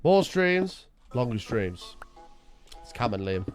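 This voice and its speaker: Hoarse streamer, animated banter. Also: male voice, mid-range voice, slow, faint music behind. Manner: bantering, wry, teasing chat members. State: hoarse, sore voice. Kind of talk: casual chat with viewers.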